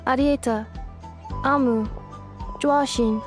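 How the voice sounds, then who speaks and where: A woman voicing a polite, literal-minded female robot in a video game, with clipped, formal, deadpan phrasing. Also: sits high, takes it slowly, faint music behind. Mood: calm, pensive.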